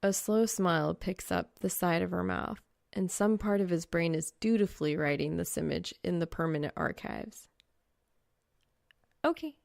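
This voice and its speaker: A woman reading fan fiction as an audiobook narrator, expressive and wry. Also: lowish voice, normal speed, creaky, few pauses, no room sound, studio quality.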